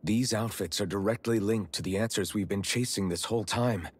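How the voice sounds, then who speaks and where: A man's voice: lowish voice, fairly quick, slightly rough. A man playing an earnest, resolute young hero in a fantasy video game cutscene.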